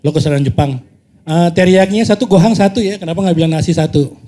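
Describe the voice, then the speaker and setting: Earnest male guest from Jakarta, Indonesia, fast talker, slightly high, warm mellow tone. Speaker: band member guest. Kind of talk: casual podcast chat.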